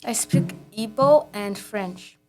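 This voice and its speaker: A woman's voice: medium pitch, takes it slowly, darkish tone. A teenage girl raised in Cameroon, a student guest answering in short, plain replies. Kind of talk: casual podcast chat.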